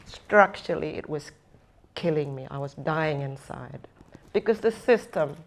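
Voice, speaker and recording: Slow, earnest woman, low voice. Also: animated. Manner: slow, earnest and deliberate, with emphatic repetition. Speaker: First Nations speaker and former government advisor. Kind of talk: reflective conference talk. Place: conference session room.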